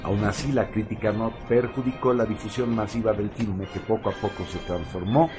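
A male radio host reading a scripted segment in an emphatic, expressive way, over background music. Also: lowish voice, normal speed.

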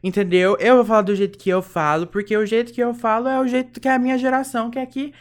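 A woman's voice: on the high side, fairly even intonation, fast. A young woman with a Brazilian caipira accent, co-hosting a casual chat in a blunt, emphatic way and repeating words for stress. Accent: Brazilian caipira (São Paulo countryside).

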